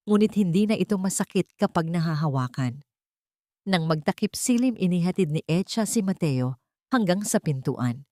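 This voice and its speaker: Female narrator for late-night drama fiction, lowish voice, solemn measured delivery, very dry recording. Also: darkish tone, dry recording. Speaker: story narrator.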